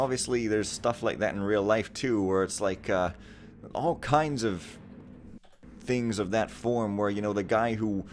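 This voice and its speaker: A man's voice: fairly low pitch, slight background noise. A young man giving casual, wry, rambling commentary over a game he is playing. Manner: rambling, wry, sometimes reads game text aloud dramatically. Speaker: let's play commentator.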